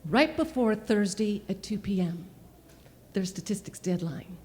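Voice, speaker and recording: A woman's voice: medium pitch, expressive, unhurried, dark tone. A woman performing an autobiographical cabaret monologue with wry, emphatic storytelling, recorded live on a small club stage through a stage microphone. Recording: live club with reverb and piano.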